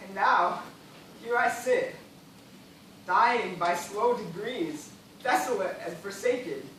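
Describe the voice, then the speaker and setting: An actor playing a weary, formal gentleman castaway in an amateur stage play, declaiming with stubborn reason and despair. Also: high voice, slow pace, exaggerated voice. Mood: anguished despair. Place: theatre stage, recorded from audience. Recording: echoey stage, distant mic, noisy.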